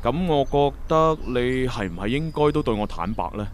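Man acting as earnest young agent guy in a hong kong cantonese radio drama, really lively pitch, bit of background noise. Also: normal speed, natural voice. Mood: probing, earnest. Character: earnest young male time-travel agent, analytical and friendly.

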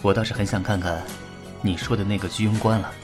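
A man acting a composed, considerate young teacher in a Chinese radio drama, speaking in a measured, understated way. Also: lowish voice, fairly even intonation, lightly acted. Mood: calm, interested. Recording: music behind the voice.

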